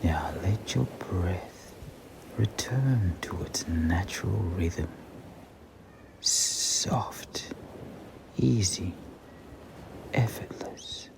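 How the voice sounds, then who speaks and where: Very slow, deep, hushed male meditation guide. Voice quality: breathy, near-whispered. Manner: soothing, hushed, with long pauses. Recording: clearly audible background ambient noise.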